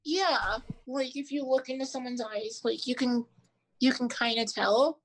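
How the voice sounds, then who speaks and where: A woman's voice: fairly high pitch, fairly even intonation, a little slow, poor audio. A woman on a podcast panel talking earnestly in casual chat, leaning on "like" a lot. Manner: earnest, heavy use of "like".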